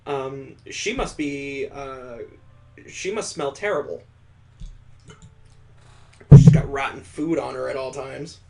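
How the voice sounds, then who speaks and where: A man's voice: medium pitch, slow pace, vocal fry, pauses often, dark tone, low-quality recording. A man hosting a let's play, reading visual novel narration aloud and adding wry, casual asides.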